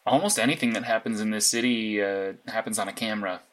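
A man's voice: lowish voice, fairly even intonation, fast, fairly bright tone, natural voice. A man acting as a matter-of-fact, analytical vigilante in an audio drama, clipped and insistent. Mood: confident, planning. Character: blunt, analytical, sleepless male vigilante mastermind. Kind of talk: naturalistic audio drama dialogue.

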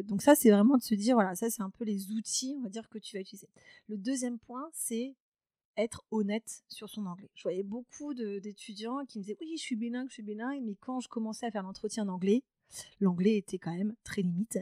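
A woman's voice: medium pitch, warm tone, no echo. Woman guest on a podcast, lots of ums and restarts, dry close sound. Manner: hesitant, many fillers and restarts. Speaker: podcast guest, company founder. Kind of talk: casual interview talk.

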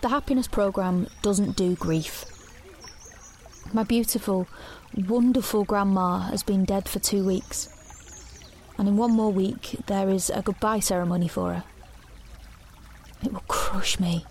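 A woman's voice: medium pitch, fairly even intonation, a little slow, long pauses, a little hiss or hum, natural voice. An actress playing an anxious, grieving teenage girl who narrates her own story in an intimate audio drama. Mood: grieving, fearful. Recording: studio recording over soft music.

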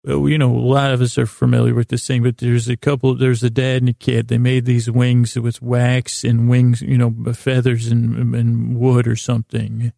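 Creaky, gravelly, rambling male podcast host. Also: medium pitch, monotone, warm tone, no echo, studio quality. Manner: meandering, halting, self-deprecating, often losing his thread. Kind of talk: rambling sleep podcast monologue.

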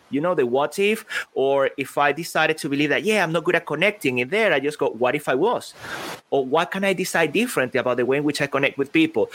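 A man whose first language is Spanish, a speaking coach talking as a guest in a podcast interview, energetic and emphatic, often breaking off his own sentences. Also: on the high side.

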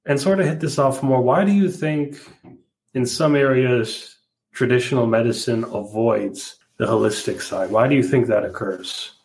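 A man's voice: medium pitch, moderate pace, darkish tone. A man hosting an interview, reacting briefly and asking short follow-up questions. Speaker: podcast host.

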